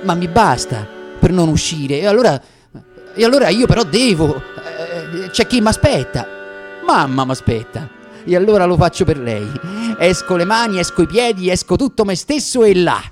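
An actor with a Roman accent playing a variety comedian who tells his life story in a sardonic, dramatic monologue with deliberate pauses. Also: high-pitched, sing-song, soft background music. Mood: wry, boisterous. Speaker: stage actor. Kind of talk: theatrical radio monologue.

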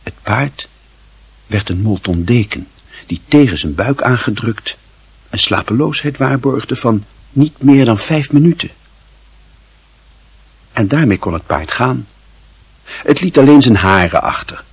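A man narrating a literary radio drama in a solemn, measured and expressive manner. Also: lowish voice, slightly rough, no echo, heavily acted.

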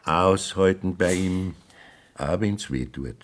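An actor playing a cautious, evasive village priest who speaks in a measured, formal way, in a radio play. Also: male voice, sits low.

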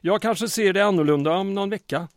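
An actor playing a small-town mayor under occupation in an audio drama, earnest but wry and weary. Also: male voice, sits high, no room sound, clean studio sound, natural voice.

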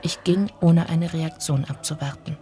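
A woman narrating a literary radio drama in the first person, in measured, deliberate phrases. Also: low voice, fairly even intonation. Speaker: actor narrating.

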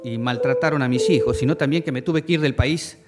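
A man's voice: medium pitch, fairly quick. A man giving personal testimony as a survivor, in connected spoken sentences. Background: loud music.

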